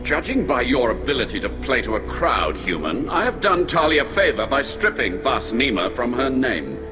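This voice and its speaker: An actor playing a dignified, solemn alien admiral in a video game, speaking in a formal, measured and grave manner. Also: male voice, mid-range voice, big pitch swings, over music, close to an everyday voice. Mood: stern, disapproving. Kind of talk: video game dialogue acting.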